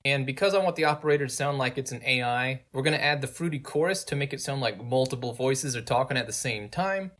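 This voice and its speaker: A man's voice: mid-range voice, fast, hardly any pauses, a bit bright. A man giving a casual, conversational tutorial voice-over as the host. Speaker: video tutorial host and game creator.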